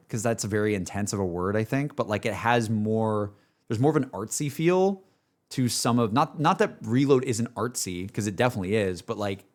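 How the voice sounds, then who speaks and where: A man giving a casual, rambling livestream reaction, peppering his talk with filler words like a laid-back chat among friends. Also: on the low side. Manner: rambling, heavy use of "like" and "dude". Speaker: streamer reacting to game music.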